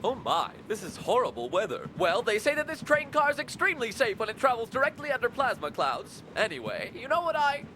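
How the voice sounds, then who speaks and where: Fussy flamboyant anime young man, super high eager voice, train-like noise behind. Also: very animated, brisk, few pauses. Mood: fussing. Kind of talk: English anime dub acting. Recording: clear vehicle noise behind.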